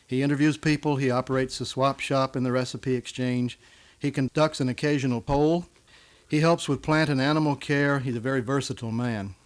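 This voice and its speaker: Man, American, medium-pitched voice, informal radio interviewer, guest host. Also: fairly even intonation, a little fast.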